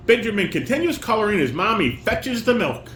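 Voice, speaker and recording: Man, slightly high voice, instructive dad directing a table read, informal coaching, roomy echo, faint noise. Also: sing-song, a little fast, a bit bright. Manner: instructive, corrective. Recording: echoey room with clear background noise.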